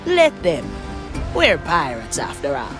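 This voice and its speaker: Cool, low-voiced pirate navigator. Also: female voice, wildly swooping pitch, takes it slowly, music in the background, full character voice. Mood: sly, confident. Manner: wry, cool, confident. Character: wry, daring young woman pirate navigator.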